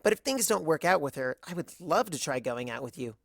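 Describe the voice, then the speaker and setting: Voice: medium pitch, big pitch swings, a little fast, creaky, dry recording, clean studio sound. A narrator as a brainy, awkward pony mare. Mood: flustered. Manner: wordy, hedging, awkward. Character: anxious, brainy young female pony student of magic.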